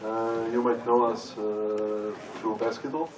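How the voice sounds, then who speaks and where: Man, halting conference talk, flat lowish voice, crowd murmur in a large hall. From Serbia. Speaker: conference panelist. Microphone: stage microphone heard from far off. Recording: echoey hall, distant, audience noise.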